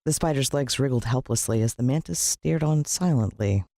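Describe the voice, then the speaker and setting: An American woman narrating a children's story in an expressive, dramatic storytelling manner. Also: extremely low pitch, normal speed, no echo, clean studio sound. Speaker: audiobook narrator.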